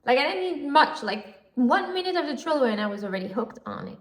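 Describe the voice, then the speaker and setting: A woman's voice: high-pitched, big pitch swings, fast. A woman hosting her own podcast, talking casually and gushing with lots of fillers. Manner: chatty and gushing, with frequent fillers and laughs. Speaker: podcast host. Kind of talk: casual solo podcast chat. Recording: roomy echo.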